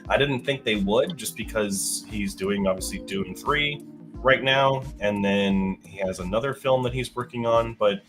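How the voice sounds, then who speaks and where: A man's voice: on the low side, unhurried, over music. A young man with a congested, sinus-clogged voice, casually chatting movie news as a podcast co-host with lots of fillers and restarts. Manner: rambling, many fillers and restarts.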